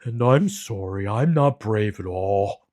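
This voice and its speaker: Man playing a slow, mournful cowardly lion. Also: fairly low pitch, animated, darkish tone. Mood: sorrowful. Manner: slow, drawn-out, mournful delivery. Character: cowardly male lion, big and sorrowful.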